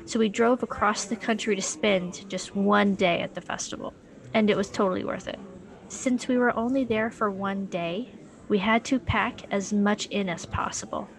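A woman's voice: mid-range voice, rough recording. A woman narrating a scripted audio documentary in a wry, conversational storytelling manner. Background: music underscore.

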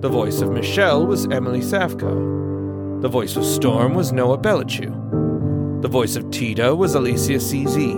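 A man reading scripted end credits as the announcer of an audio drama podcast. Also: medium pitch. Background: loud plucked guitar music.

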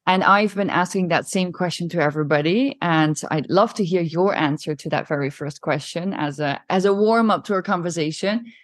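A woman hosting a podcast interview, reading a measured introduction and then asking relaxed questions. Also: on the low side. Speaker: podcast host. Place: Milan studio or home.

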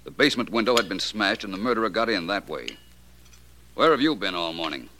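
An actor playing a suave, unflappable private detective in a 1940s radio drama, speaking with polished, dry understatement. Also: male voice, on the low side, lively intonation, a little fast, sharp tone, a little hiss or hum. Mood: cool, probing.

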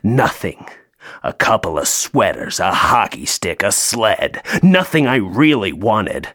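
An actor playing a bitter, grieving North Pole elf in an audio play, sarcastic and emotionally open. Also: male voice, lowish voice, sing-song, slow, a bit bright, exaggerated voice. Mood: hurt, angry.

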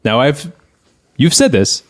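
A man's voice: fairly high pitch, sing-song, slow pace. A man hosting a podcast in casual comedic banter, sounding grumbling and exasperated. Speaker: podcast host.

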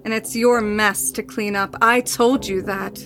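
A woman's voice: mid-range voice, steady tone, unhurried, a bit bright, natural voice. A woman playing a seductive, playfully cruel female spirit in an audio horror drama, teasing and sinister, sometimes dropping toward a whisper. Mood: scornful, commanding.

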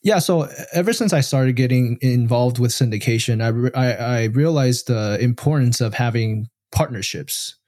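A man from Taiwan speaks as a podcast guest in a mid-range voice. He talks casually, halting often with fillers and restarting his sentences. The sound is dry and close, with no room echo. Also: medium pitch, mellow. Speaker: podcast guest, real estate syndicator. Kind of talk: casual interview answers.

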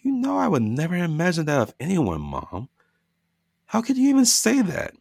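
A pushy virtual son, played by a man, insistent and touchy. His voice swings widely in pitch. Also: sing-song, normal speed, gravelly, no echo, clean studio sound, heavily acted.

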